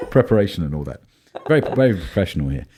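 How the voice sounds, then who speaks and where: Deep-voiced man chatting on a podcast. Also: sing-song, fairly quick, darkish tone. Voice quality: deep baritone. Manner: chatty, thinks aloud with many ums and restarts. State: voice low and rough from a cold spell. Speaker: podcast co-host. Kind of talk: casual co-host chat.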